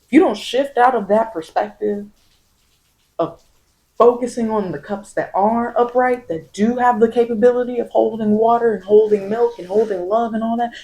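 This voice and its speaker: A woman's voice: a little high, fairly even intonation, normal speed, dark tone, rough recording. A woman giving an informal ASMR tarot reading, coaching the listener with emphatic, repeated phrases. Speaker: tarot reader.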